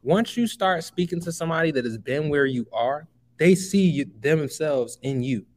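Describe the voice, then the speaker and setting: A man's voice: on the high side, animated, moderate pace, darkish tone. Emphatic young man giving advice. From Chicago. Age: 20s. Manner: emphatic, motivational, calls the listener bro. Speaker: guest. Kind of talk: casual podcast interview.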